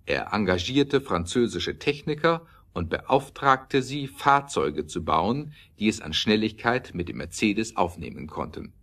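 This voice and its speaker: A man's voice: on the low side, lively intonation, a little slow, few pauses, a bit bright, heavily acted. A man narrating a scripted historical radio play as its storyteller.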